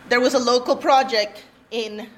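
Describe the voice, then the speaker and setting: A woman's voice: a little high, steady tone, moderate pace. A young woman from Peru giving a candid, earnest personal testimony as a workshop presenter, with light nervous laughs, in a room with an audience and no handheld mic. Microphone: no handheld mic, room recorder.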